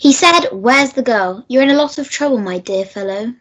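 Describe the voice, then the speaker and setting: A woman's voice: fairly high pitch, moderate pace, close to an everyday voice. A woman playing a sneering constable in a radio play, mocking and taunting. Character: sneering constable, loyal sidekick to the sergeant.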